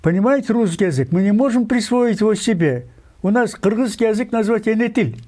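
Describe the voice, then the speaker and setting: An older man from Kyrgyzstan, a writer giving an interview, talking insistently with many digressions, pauses and fillers. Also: high-pitched, animated, dark tone. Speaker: guest writer. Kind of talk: emphatic interview talk.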